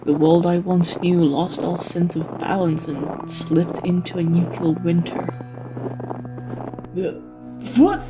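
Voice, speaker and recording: A woman plays a world-weary wasteland tour guide, a sardonic man narrating his own story in a comic dub. The voice is fairly low, dry and brooding, with some music underneath. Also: fairly even intonation, unhurried, lightly acted, low-quality recording. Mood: bleak, resigned. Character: weary, sarcastic male tour guide surviving nuclear wasteland.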